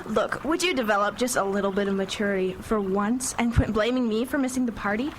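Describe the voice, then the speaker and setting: A woman's voice: medium pitch, quick pace, lightly acted, poor audio. A woman playing an indignant, sarcastic teenage twin sister in a live radio play. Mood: indignant, sulky. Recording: live radio broadcast with background noise.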